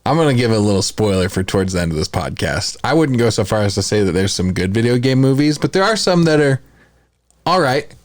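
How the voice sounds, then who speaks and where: A man's voice: mid-range voice, very animated, quick pace, dry recording. Dry-recorded male podcast co-host chatting. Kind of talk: casual opinionated podcast chat.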